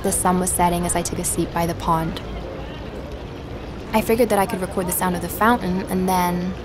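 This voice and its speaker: An actress playing an earnest, determined young woman investigator in an audio drama, speaking in a measured, reflective way. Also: on the low side, fairly even intonation, background noise, natural voice. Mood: reflective narration. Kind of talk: audio drama, conversation and first-person narration.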